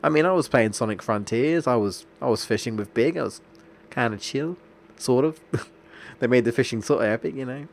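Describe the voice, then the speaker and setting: A man's voice: medium pitch, fairly quick, creaky, a little hiss or hum. A man with a stuffy nose from a cold, chatting casually and rambling as he streams a game. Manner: rambling, chatty, restarts sentences often.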